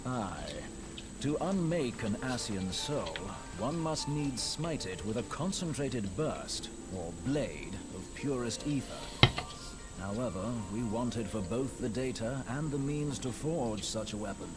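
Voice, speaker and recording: A man acting a grave, scholarly warrior in a video game, speaking in solemn, deliberate, archaic phrases. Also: mid-range voice, expressive. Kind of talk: scripted video game dialogue with archaic wording. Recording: game music loud behind the voice. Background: loud game music.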